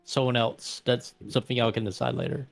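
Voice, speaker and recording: A man casually narrating a tabletop game in a halting, informal way. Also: medium pitch, flat delivery, gravelly.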